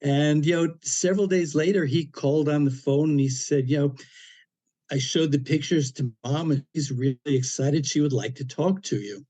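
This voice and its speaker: A man around seventy, a podcast guest, telling a personal story in a relaxed, anecdotal way with frequent "you know" fillers and dry humor. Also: on the high side, moderate pace. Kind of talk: casual storytelling interview.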